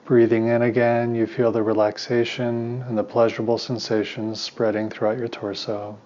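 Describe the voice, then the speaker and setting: A man leading a guided meditation as a teacher, speaking in a calm, unhurried, soothing way with long pauses. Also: mid-range voice, highs cut off, a little hiss or hum.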